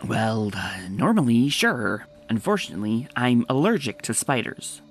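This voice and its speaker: A woman voicing a bold, confident female adventurer pony in a comic dub, talking briskly and commandingly. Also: rumbling low, very animated, soft background music, pitched below the performer's own voice, heavily acted. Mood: secretive.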